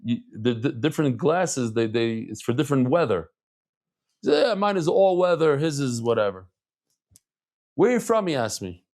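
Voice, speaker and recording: A middle-aged man teaches a daily Talmud class in an easy, conversational way. His voice sits mid-pitch but jumps up and down with lively swings, and he scatters Hebrew and Yiddish terms through his talk. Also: moderate pace. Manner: animated, chatty, mixes in Hebrew and Yiddish terms.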